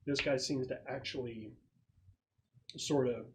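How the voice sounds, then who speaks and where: A man's voice: medium pitch, fairly even intonation, measured pace, a little hiss or hum. A man acting as game master, improvising descriptions and explaining rules in a conversational, descriptive way. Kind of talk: improvised tabletop game narration.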